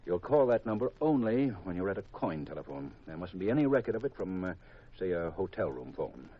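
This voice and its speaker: Deep, husky secretive spy chief. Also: male voice, big pitch swings, exaggerated voice. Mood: grave, instructing. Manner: deliberate, measured, gravely confidential. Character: grave, secretive government intelligence chief briefing a recruit. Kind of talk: 1950s radio drama acting. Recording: dull vintage 1950s radio recording.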